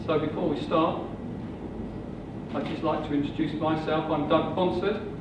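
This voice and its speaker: A man in his sixties giving a measured, slow sales presentation about property investing. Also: a little high, fairly even intonation. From England. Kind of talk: seminar presentation. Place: hotel function room. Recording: muffled, distant, echoey room with noise.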